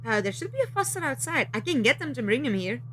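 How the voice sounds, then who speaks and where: A woman's voice: high-pitched, very animated, fast talker, a bit bright, a little hiss or hum. A woman acting in an audio drama as an eager, brave young companion, earnest in manner. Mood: earnest, questioning.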